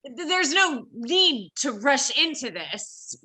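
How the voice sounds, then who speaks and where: A woman's voice: sits high, big pitch swings, slow, bright, forceful tone, full character voice, low-quality recording. A woman playing an exasperated, sensible, argumentative woman in a comedic stage play reading, protesting with hesitant starts. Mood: hesitant, reasoning. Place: indoors, remote video call.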